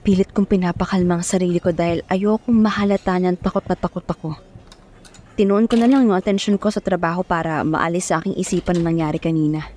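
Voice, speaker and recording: A woman's voice: lowish voice, fairly even intonation, fast talker, mellow. A young woman narrating an audio romance serial in the first person, as a dramatic storyteller. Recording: music bed under the voice.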